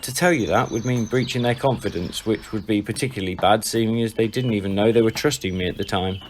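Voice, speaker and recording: A man playing a perceptive, laid-back and quietly frank friend in an audio drama, unhurried and matter-of-fact. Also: on the low side, a little hiss or hum, natural voice. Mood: frank, calm.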